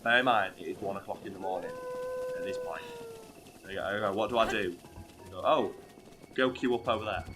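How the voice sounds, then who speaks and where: A hoarse, ill man swearing freely as he plays a video game and tells a story. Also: fairly low pitch, huge pitch swings, fairly quick, long pauses, music in the background. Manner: swears freely, bursts into loud outbursts. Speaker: co-host playing a video game. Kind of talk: casual gaming chat with storytelling.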